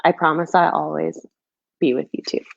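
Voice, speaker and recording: A woman's voice: low-pitched, fairly even intonation, normal speed, vocal fry, muffled recording. A woman who is a children's book author, speaking in a storybook read-aloud or chatty setting. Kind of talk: storybook read-aloud, rhyming, then casual chat.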